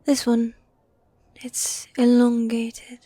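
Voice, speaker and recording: A woman playing a teasing, needy yet dominant goth demon girlfriend in a fantasy ASMR roleplay, soft and breathy, often whispering, close to the mic. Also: fairly high pitch, completely flat delivery, extremely slow, dry recording. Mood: coy, dreamy. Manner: teasing, coy, affectionate, with sighs. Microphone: close, dry microphone.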